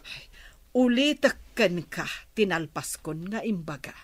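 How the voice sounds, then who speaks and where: A slow, deliberate mother reproaching her grown son. She moralizes in radio drama style, in a dry, close studio sound. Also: fairly low pitch, animated, dry recording. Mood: reproachful. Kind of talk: Ilocano radio drama acting.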